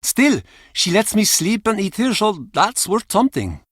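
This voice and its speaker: Gruff old fisherman, high-pitched, animated. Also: dry recording, studio quality, heavily acted. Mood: wry. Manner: theatrical, blunt, halting old-salt turns of phrase. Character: gruff, embittered, grieving old Icelandic fisherman and pub regular. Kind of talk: video game character acting.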